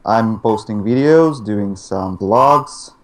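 A young man in his twenties speaks unhurried English with a Russian accent. He pauses often and slips in small laughs as he answers questions in a casual online chat. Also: fairly low pitch. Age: 20s. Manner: hesitant, laughs between phrases. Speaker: interview guest, YouTuber.

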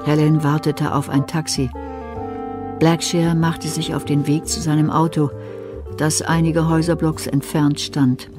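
A man's voice: fairly high pitch, flat delivery, unhurried. A man narrating a scripted German crime audio drama as its storyteller. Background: music.

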